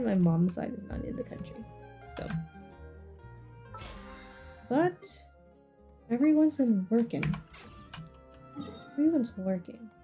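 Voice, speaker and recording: A woman's voice: mid-range voice, warm tone. A woman livestreamer chatting casually with her viewers, often repeating short phrases. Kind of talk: casual chat with stream viewers. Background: game music.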